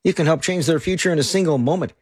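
A man reading a radio traffic report and a sponsor message in a brisk, clipped way. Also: fairly high pitch, darkish tone, breathy edge, no echo, studio quality. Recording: music bed underneath.

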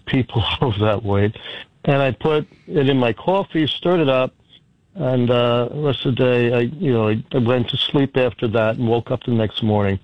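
A man's voice: mid-range voice, no echo. A male caller who talks in a chatty, rambling way, stumbling and restarting as he goes. His voice comes through a dull, slightly lo-fi line with a dry sound. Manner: chatty, rambling, halting storyteller. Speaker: radio caller. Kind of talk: phone-in conversation.

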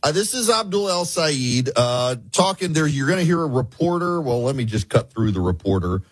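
Husky-voiced southern talk radio host. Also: male voice, fairly high pitch, moderate pace, dry recording. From American South. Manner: sarcastic, animated, mocking. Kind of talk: opinionated political talk radio.

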